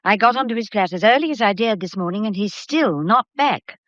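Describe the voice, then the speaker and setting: A woman's voice: medium pitch, animated, a little fast, a bit bright, no room sound, natural voice. A woman playing a proper gallery worker in a vintage-set radio mystery. She confides and fusses sympathetically in a mid-range voice, recorded dry and a touch dull. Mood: worried, confiding. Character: fussing, confiding, genteel English gallery employee, sympathetic. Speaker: actor. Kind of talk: 1940s period mystery drama acting.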